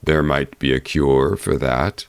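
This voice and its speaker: Guy doing a big grave fairytale bear, super low voice, commanding and careful, dry close sound. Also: gravelly, smooth, no room sound, heavily acted. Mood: grave warning. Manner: grave, measured, warning. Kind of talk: fairy-tale audiobook character voice.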